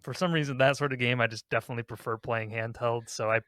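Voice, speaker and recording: Guy hosting a gaming podcast, regular pitched voice, kinda rambly chat, no room echo. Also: fairly even intonation, quick pace, a bit bright, clean studio sound. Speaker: podcast host.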